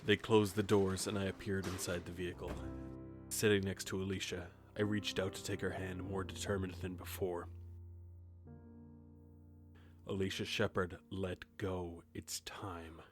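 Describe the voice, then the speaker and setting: Male actor as ageless grim reaper narrator: very low voice, restrained and grave, audio drama over clear music. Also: level tone, moderate pace, lightly acted. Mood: solemn, insistent. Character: grim reaper narrator, centuries old, detached, puzzled. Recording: music behind the voice. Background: music with guitar.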